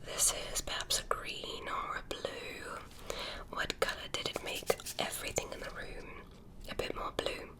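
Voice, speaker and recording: A woman voicing a curious alien examiner who tests a human, speaking in a soft, clinical ASMR whisper. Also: measured pace, natural voice. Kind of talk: ASMR medical roleplay.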